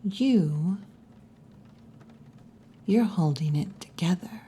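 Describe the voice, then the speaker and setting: Hushed, low-voiced wolf girl bartender, acted by a woman. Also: animated, full character voice. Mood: soft, knowing. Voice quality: breathy, mostly whispered or half-whispered. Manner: slow, hushed, teasing, with long pauses. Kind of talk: ASMR roleplay, intimate scripted monologue. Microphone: close ASMR microphone.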